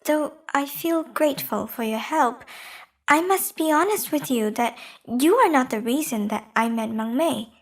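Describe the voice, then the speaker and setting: An actress plays a young maiden back from the dead in a stage play. The voice is very high, frank and lovestruck. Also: female voice, expressive, a little slow, exaggerated voice. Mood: firm, polite. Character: frank, lovestruck young woman returned from death.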